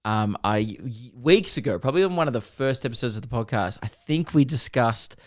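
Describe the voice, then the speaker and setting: A man's voice: medium pitch, lively intonation, brisk. A young Australian man chatting casually as a podcast co-host, blokey and self-deprecating. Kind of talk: casual chat.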